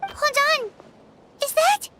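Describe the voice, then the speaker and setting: A woman voicing a tiny, childlike, excitable fairy companion in a game, squeaky and wide-eyed. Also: slow, a little hiss or hum, full character voice. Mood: startled. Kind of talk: English game dub acting.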